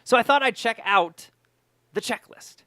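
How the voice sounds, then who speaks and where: A man's voice: very high voice, expressive, moderate pace, bright, forceful tone, dry recording, clean studio sound. A man hosting a podcast in casual chat, speaking emphatically and often repeating words for emphasis. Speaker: podcast host.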